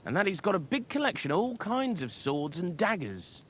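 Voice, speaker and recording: An actor playing a questioning, needling soldier of a lord's escort in a medieval game. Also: male voice, high voice, very animated, moderate pace, a bit bright, slight background noise. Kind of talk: video game cutscene acting.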